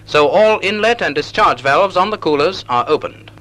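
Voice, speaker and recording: Male, 1940s instructional film narrator. Slightly high, crisp-edged bright tone, measured didactic delivery, vintage soundtrack. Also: lively intonation, low-quality recording.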